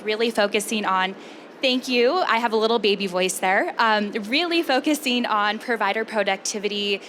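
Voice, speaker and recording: A woman, a company vice president, giving a conference pitch in a chatty, conversational way. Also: noisy background.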